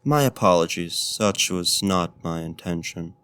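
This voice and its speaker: Man playing a slow, deep, courtly vampire. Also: lively intonation, a bit bright, dry recording. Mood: polite, inviting. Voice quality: low, gravelly. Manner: formal, archaic, courteous and flirtatious phrasing. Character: courtly male vampire host, smooth, gently menacing and seductive. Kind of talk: ASMR roleplay, slow and intimate.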